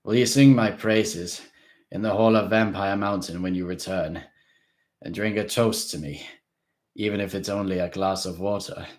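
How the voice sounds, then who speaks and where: A man reading aloud, voicing a stern old male vampire who speaks in a formal, grave, measured way. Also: sits low, steady tone, close to an everyday voice. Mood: fond farewell.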